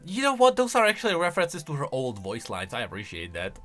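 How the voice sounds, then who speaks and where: A man reacts casually to video game lore, thinking out loud. His voice is bright and a little high, with animated swings in pitch. He talks in an expressive, conversational way. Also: fast talker, faint music behind. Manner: expressive, conversational, musing aloud.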